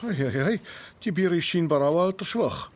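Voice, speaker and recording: An actor playing an excitable, cocky twenty-five-year-old inventor with a Swiss German accent in a children's radio play, full of exclamations. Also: male voice, a little high, normal speed, a little hiss or hum. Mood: indignant surprise. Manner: exclamatory, peppered with surprised interjections.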